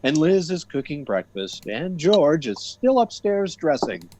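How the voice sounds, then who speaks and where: A man's voice: fairly high pitch, sing-song, a little slow, a little mellow, a little hiss or hum. A man narrating a scripted radio comedy as its theatrical announcer.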